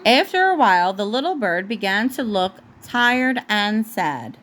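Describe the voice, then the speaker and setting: A woman's voice: medium pitch, very animated, measured pace, a bit bright, a little hiss or hum. A woman reading a storybook aloud as a mother to her young child, often breaking off to correct the child. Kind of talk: storybook read aloud at home, with asides to a child.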